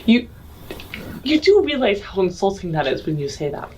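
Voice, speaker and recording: A woman playing a tabletop roleplaying game, speaking casually in a deliberate, matter-of-fact way. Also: mid-range voice, very animated, a little mellow, slightly rough, rough recording. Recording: background noise.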